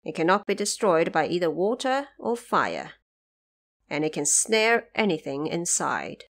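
A woman acts out an old immortal man from a fantasy audio drama. He is proud and sure of himself, boasting in slow, deliberate phrases. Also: lowish voice, big pitch swings, fairly bright tone, pitched below the performer's own voice, full character voice. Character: confident, boastful old male immortal elder.

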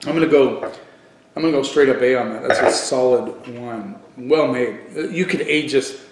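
A middle-aged man giving a casual wine review on video, thinking aloud haltingly and repeating words for emphasis. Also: medium pitch. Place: living room at home, indoors. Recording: echoey room.

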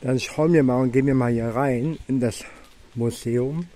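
A young man with a dark, mellow voice talks casually as he guides a video tour. He speaks haltingly, thinking aloud, at a middling pitch. His words ring with long reverb in bare indoor rooms. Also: faint music behind. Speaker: vlogger filming alone. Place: empty museum rooms and corridors, indoors. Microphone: handheld phone camera. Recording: echoey with long room reverb.

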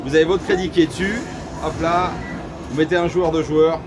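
A man's voice: fairly high pitch, steady tone, fairly quick. A man vlogging casually and enthusiastically, using informal slang. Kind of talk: casual chat to camera. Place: large indoor arcade. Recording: loud arcade music and machine din behind.

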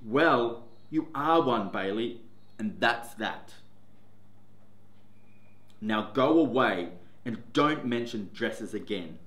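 A man reading aloud and voicing a stern parent who dismisses the child firmly and loudly. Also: medium pitch, animated, takes it slowly, long pauses, echoey room.

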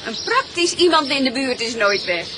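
Radio play wife: high, lively intonation, teasing and conversational, noisy recording. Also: female voice, normal speed. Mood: pleasant. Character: calm, teasing wife on a country holiday. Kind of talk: Dutch radio drama acting.

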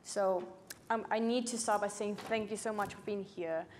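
A woman's voice: mid-range voice, steady tone, moderate pace, darkish tone, a little hiss or hum. Young Chilean-accented woman, conversational. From Santiago, Chile. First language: Spanish. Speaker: youth climate activist panelist. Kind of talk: personal story in a panel talk. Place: stage at an indoor panel event. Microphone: lapel mic.

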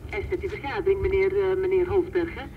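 A woman's voice: mid-range voice, little pitch movement, fast. An actress playing a guarded, formal female private secretary through a telephone filter in an old radio drama. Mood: formal, unyielding. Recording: thin, noisy, distant phone line.

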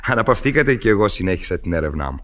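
A man's voice: on the low side, sing-song, a bit bright. An actor playing a shrewd, jovial male police inspector in an old radio drama, who hesitates and fills his speech with sounds. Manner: hesitant, with many filler sounds. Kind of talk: 1970s Greek radio drama acting. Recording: noisy old radio recording.

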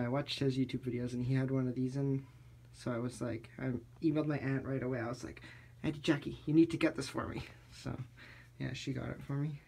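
A man's voice: medium pitch, darkish tone. A young man giving a casual, understated home tour as a gaming vlogger, recorded indoors on a handheld camera. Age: young adult. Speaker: gaming YouTuber. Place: small furnished basement rooms indoors. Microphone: handheld camera's built-in mic.

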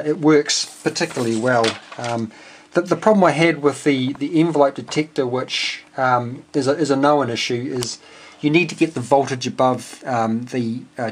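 A man with a New Zealand accent giving a casual, unscripted technical walkthrough as a radio hobbyist, hesitant and speaking in short phrases. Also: medium pitch. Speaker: amateur radio hobbyist presenting his homebuilt receiver. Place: indoors at a home workbench. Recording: clearly noisy home video with clicks.

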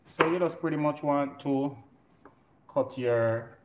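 A man's voice: a little high, takes it slowly. A middle-aged man with a Jamaican accent, casually and playfully hosting a live home cooking demonstration. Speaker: home cook and show host. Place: indoor home kitchen. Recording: roomy kitchen, clear noise, pot clatter.

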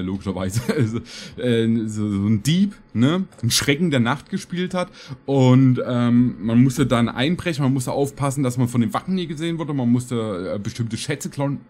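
A man's voice: medium pitch, darkish tone. A man in his thirties chatting casually to his viewers as a reaction streamer, in a nostalgic, rambling way. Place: small home streaming room.

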